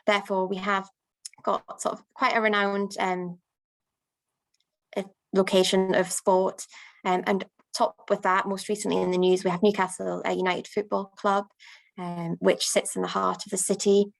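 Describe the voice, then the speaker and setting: Woman promoting a city to event planners, upbeat and unscripted, mid-pitch voice with a gravelly fry. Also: level tone, moderate pace. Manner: frequent "um" fillers, upbeat. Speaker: destination promoter.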